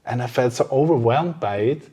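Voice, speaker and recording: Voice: mid-range voice, fairly quick, warm tone, breathy edge. Podcast host chatting casually, hesitant phrasing. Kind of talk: casual conversation.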